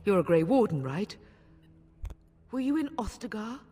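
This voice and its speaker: An actress playing a gentle, sorrowful village wife in a fantasy video game, speaking in a measured, earnest way. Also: female voice, medium pitch, sing-song, husky, faint music behind. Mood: anxious, hopeful. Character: sorrowful gentle village wife awaiting missing husband and son. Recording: long hall reverb.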